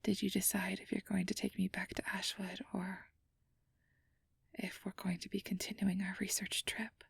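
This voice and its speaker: A woman playing a nervous, earnest young fantasy researcher in an ASMR roleplay, rambling and self-doubting, with soft breathy delivery. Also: mid-range voice, flat delivery, normal speed, dry recording, exaggerated voice. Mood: hesitant, quiet. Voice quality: breathy, often dropping to a soft whisper. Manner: flustered, stammering, over-explaining, self-doubting.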